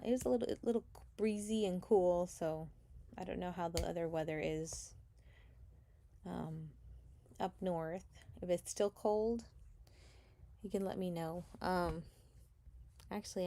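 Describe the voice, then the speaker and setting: A woman's voice: fairly low pitch, vocal fry, dark tone. A woman who was born in Chile, chatting casually during a live selling stream, rambling a little and thanking buyers. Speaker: live seller. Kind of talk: casual livestream chat. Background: crinkling sticker packs.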